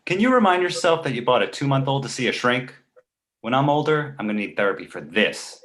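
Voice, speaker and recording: A sarcastic baby boy played by a grown man who speaks in full adult sentences for an animated comedy read. The delivery is snarky and very lively in pitch, recorded in an echoey room. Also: a little fast. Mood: snarky complaint. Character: precocious snarky two-month-old baby boy who talks. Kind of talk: live table read of an animated comedy script.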